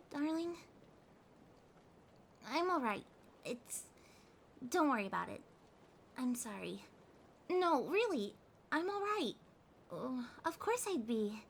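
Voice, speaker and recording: A woman acting a needy, tender cat girl in an intimate ASMR audio drama, speaking haltingly and emotionally with breathy whispers. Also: high voice, full character voice. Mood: apologetic, tender, then wistful, fragile. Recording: rain ambience noise behind the voice. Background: rain sounds.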